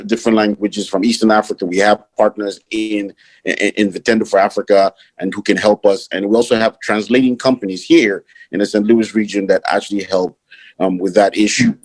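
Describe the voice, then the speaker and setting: A man from Nigeria, a Yoruba speaker, answers questions on a panel for his chamber of commerce. His voice sits fairly low. He often stumbles and doubles up his words before finding the thread. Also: darkish tone. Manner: repeats words and restarts often.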